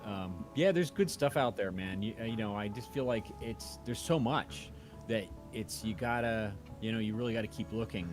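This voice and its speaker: Man, deep voice, casual chat, rambling and self-effacing, music clearly playing behind. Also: moderate pace. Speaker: audio drama creator and podcast host; university audio teacher.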